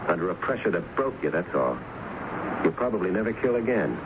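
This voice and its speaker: An actor playing a stubborn, needling police lieutenant in a radio drama, insistent and accusing. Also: male voice, low voice, sing-song, a little fast. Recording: noisy, muffled old radio broadcast recording.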